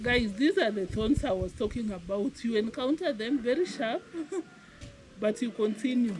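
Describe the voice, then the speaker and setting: A woman's voice: on the high side, measured pace, darkish tone, soft background music, low-quality recording. A woman chatting as a village vlogger, explaining her farm work as she goes and laughing often. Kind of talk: casual walk-and-talk vlog. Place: outdoor farm field in the rain.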